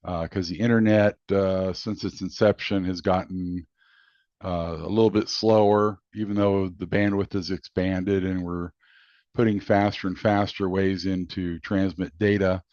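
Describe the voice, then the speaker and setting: Man, lowish pitch, halting and rambling, casual explainer, unscripted, very dry sound. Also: level tone, a little mellow, no echo. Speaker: video host and amateur radio hobbyist. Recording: dull lo-fi sound.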